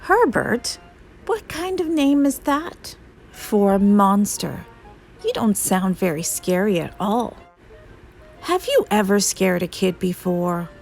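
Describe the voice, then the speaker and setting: A woman's voice: medium pitch, lively intonation, slow, vocal fry, a little mellow, soft background music, full character voice. A woman voicing a young boy in a children's storybook, anxious but blunt, picky and politely insistent. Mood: skeptical.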